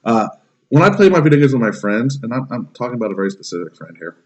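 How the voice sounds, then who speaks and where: Guy in his 30s ranting on a podcast, swears a lot, medium pitch. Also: very animated, fast talker, a little mellow, poor audio. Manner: profane, ranting, emphatic. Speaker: podcast host. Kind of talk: casual podcast chat.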